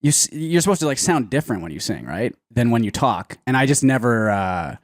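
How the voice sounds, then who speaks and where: A man talking casually as a podcast guest, hesitant and self-deprecating, with a self-described monotone way of speaking. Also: medium pitch, fairly quick, no echo, studio quality. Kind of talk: casual chat.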